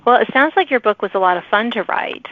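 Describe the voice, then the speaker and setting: A woman's voice: on the high side, animated, fast talker, no room sound. Lady hosting an interview podcast, encouraging and chatty, sounds like a phone call, pretty dry. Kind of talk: conversational interview. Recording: narrow phone-like audio.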